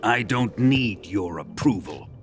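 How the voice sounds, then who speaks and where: An actor playing a ruthless, commanding Soviet colonel in a video game drama, speaking in a cold, imperious, contemptuous manner. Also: male voice, fairly low pitch, lively intonation, slow, faint music behind. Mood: menacing, contemptuous. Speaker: military commander.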